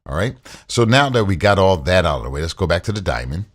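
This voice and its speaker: A man with a very low, deep baritone speaks close to a studio condenser mic. He talks in a relaxed, repetitive way, circling back over his points with plenty of "um"s, like a casual home-studio gear demo. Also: quick pace. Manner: relaxed, repetitive, uses fillers. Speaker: tech reviewer. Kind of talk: casual tutorial with screen share.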